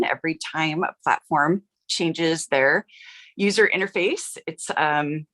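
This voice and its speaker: A middle-aged woman hosting a live card-making stream, chatty and upbeat with lots of filler words, her voice a little rough from yelling. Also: sits low. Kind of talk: casual livestream chat.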